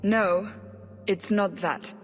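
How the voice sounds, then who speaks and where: A woman playing a stern, probing interrogator in a video game, intense and deliberate. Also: mid-range voice, steady tone, husky. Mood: intent, demanding. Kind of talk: video game cutscene acting. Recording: long hall reverb.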